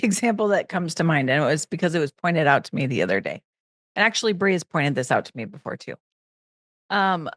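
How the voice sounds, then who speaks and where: A woman's voice: on the low side, dry recording, studio quality. A woman co-hosting a podcast in casual chat, playful and teasing, laughing easily. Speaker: podcast co-host.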